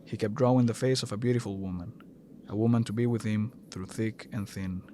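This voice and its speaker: A man's voice: on the low side, steady tone, fairly quick, dark tone, a little hiss or hum. A man reading scripted narration for an audio drama.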